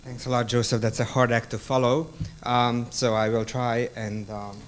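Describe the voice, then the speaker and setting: A man's voice: mid-range voice, level tone, a little hiss or hum. A man with a Hungarian accent, a university lecturer giving a public talk about a book, hesitant and full of ums and restarts. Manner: halting, many ums and restarts.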